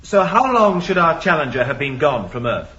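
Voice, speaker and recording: An insistent male space traveller, high and very animated in pitch. He challenges and questions in an echoey, slightly muffled old radio drama. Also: normal speed. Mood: questioning. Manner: urgent, challenging. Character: insistent male starship crewman, indignant and questioning. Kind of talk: science fiction radio drama acting. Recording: echoey, dull, noisy old radio recording.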